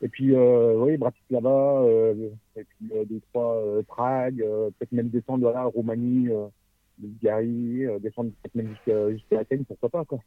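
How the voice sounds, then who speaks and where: A man's voice: mid-range voice, level tone, normal speed, dry recording. A man calling into a show, chatting casually and rambling, with many fillers. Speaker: caller. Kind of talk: casual phone chat. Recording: dry, tinny phone line.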